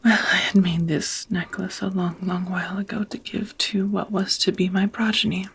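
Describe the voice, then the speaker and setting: A woman playing a tender, nervous ancient vampire in an intimate ASMR romance roleplay, with hesitant, slightly old-fashioned phrasing and a whispery, husky voice. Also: mid-range voice, little pitch movement, moderate pace.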